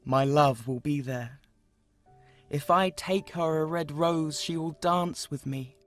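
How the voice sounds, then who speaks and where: A man's voice: fairly high pitch, takes it slowly, faint music behind, pitched above the performer's own voice. A man voicing a lovesick young student who laments earnestly and pities himself in a storybook tale. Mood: yearning. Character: lovesick, self-pitying young male student.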